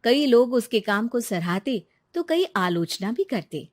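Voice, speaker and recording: Higher-pitched woman story narrator. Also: big pitch swings, a little slow, dry recording, clean studio sound.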